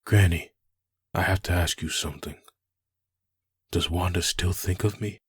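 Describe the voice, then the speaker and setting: A man acts out a solemn, grieving husband back from the dead in a comic dub. His voice is deep and heavily rasped, heard as sombre and otherworldly. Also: steady tone, unhurried, dry recording, studio quality, exaggerated voice. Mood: hesitant, yearning. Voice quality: husky, low.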